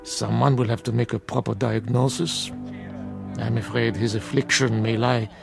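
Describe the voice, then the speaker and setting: Solemn male doctor, gravelly voice, radio drama, prominent music underneath. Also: medium pitch, fairly even intonation, moderate pace. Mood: grave, troubled. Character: solemn, dutiful male doctor troubled by horror. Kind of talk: dramatic radio play acting.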